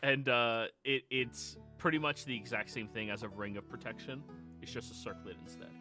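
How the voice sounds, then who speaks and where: A man speaking casually and haltingly as he improvises as game master of a tabletop fantasy game. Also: mid-range voice, fairly even intonation. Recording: music playing behind the voice.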